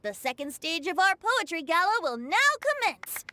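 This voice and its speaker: A woman's voice: very high-pitched, expressive, moderate pace, background noise. A woman voicing a cheerful, youthful master of ceremonies in a video game, announcing theatrically. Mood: cheerful, ceremonious.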